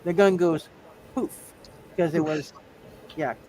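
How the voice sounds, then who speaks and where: A man narrating a tabletop roleplaying game as game master, speaking haltingly and often repeating his words. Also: mid-range voice, a little mellow, slight background noise.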